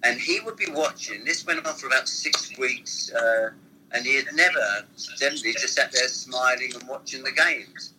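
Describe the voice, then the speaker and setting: A man's voice: medium pitch, moderate pace, bright, forceful tone, a little hiss or hum, low-quality recording. An older man, a former football manager, telling rambling stories from his past in an interview. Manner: rambling anecdotal storytelling. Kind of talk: reminiscing interview.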